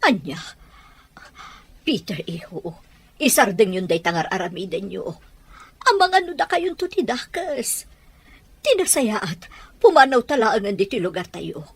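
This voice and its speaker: A woman's voice: medium pitch, big pitch swings, unhurried, pauses often, breathy edge, no room sound, heavily acted. A woman playing an anxious mother of a grown son in an Ilocano audio drama, pleading emotionally with an Ilocano Filipino accent. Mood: worried. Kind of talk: Ilocano radio-style audio drama acting.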